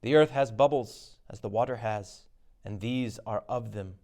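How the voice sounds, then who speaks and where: A man's voice: fairly low pitch, normal speed, mellow, close to an everyday voice. Man playing a bold, questioning noble warrior. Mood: wondering. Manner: questioning, declamatory. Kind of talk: dramatic Shakespeare reading.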